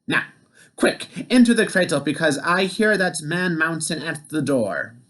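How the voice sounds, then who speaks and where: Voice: medium pitch, lively intonation, measured pace, a bit bright, pitched above the performer's own voice. Sly sweet giant's wife, as a storyteller puts her on. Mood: sweetly sly. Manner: sugary, coaxing. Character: sweet-talking clever Irish giant's wife. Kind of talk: storybook reading.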